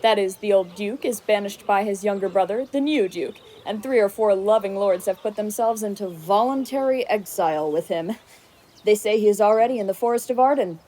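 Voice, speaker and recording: A woman's voice: mid-range voice, brisk, mellow, lightly acted. A woman playing a blunt, respectful court wrestler, speaking in formal, declamatory Shakespearean style in a radio play. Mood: matter-of-fact, gossipy.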